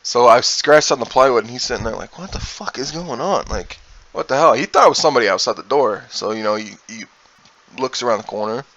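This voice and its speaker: Male streamer, mid-pitched, for off-the-cuff personal storytelling; rambling, informal, slips into quoted dialogue. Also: expressive, fairly quick, a bit bright, muffled recording. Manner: rambling, acts out quoted dialogue, mild profanity. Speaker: gaming streamer telling personal stories. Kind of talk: casual anecdotal storytelling.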